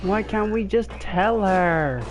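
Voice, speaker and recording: Excitable, swearing young male streamer. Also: high-pitched, slow, music in the background. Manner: excitable, profane, chatty. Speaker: game streamer. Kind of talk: casual live gameplay commentary.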